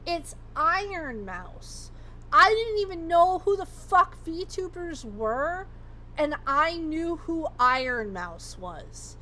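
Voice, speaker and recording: A woman livestreamer chatting casually, her voice going hoarse as she rambles and swears freely. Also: very high-pitched, sing-song, takes it slowly, sharp tone, poor audio. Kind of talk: casual chat.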